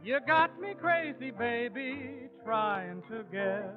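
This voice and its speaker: A man's voice: very high-pitched, slow. A man singing as a crooner on a 1940s radio show. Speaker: tavern show singer. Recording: dull old radio recording with music.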